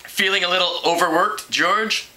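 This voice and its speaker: A sympathetic female receptionist from a storybook, acted out by a man reading aloud. The delivery is familiar and matter-of-fact, in a very bright, forward voice. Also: high-pitched, normal speed, poor audio. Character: sympathetic, plain-talking female newspaper receptionist.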